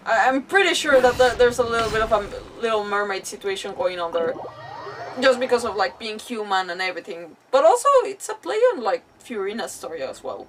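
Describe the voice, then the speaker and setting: A woman giving casual, rambling livestream commentary with animated asides as she plays a game. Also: mid-range voice, distant mic, poor audio. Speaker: game streamer. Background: video game music.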